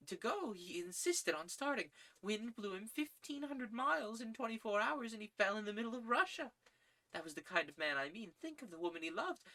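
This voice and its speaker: A woman's voice: fairly high pitch, bright, forceful tone, voice pushed up. A reader voicing an idealistic, proud young woman who speaks in earnest, lofty tones. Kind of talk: audiobook character reading.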